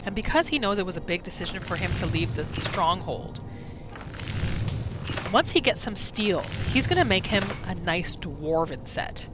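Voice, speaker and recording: A woman's voice: on the low side, lively intonation, normal speed. A woman gaming YouTuber giving casual, story-like commentary as she narrates a roleplay playthrough. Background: game music.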